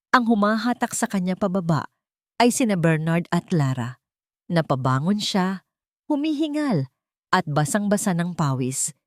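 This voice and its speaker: Female audiobook narrator, lower register, dramatic storytelling, dry recording. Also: a little mellow, no room sound.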